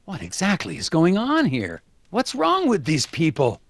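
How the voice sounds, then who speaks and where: A man's voice: on the high side, huge pitch swings, a little slow, heavily acted. An actor playing an earnest, puzzled male investigator in an adventure game, inquisitive and given to talking to himself. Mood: probing. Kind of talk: animated game dialogue and narration.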